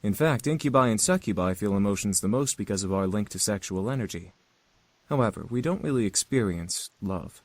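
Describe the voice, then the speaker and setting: A reserved, scholarly incubus from a visual novel game. He speaks formally and courteously, as if explaining, in a fairly low voice recorded very dry and close. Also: lively intonation, brisk, no echo. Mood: earnest, lecturing. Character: polite, scholarly, reserved male incubus demon. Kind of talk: visual novel character acting.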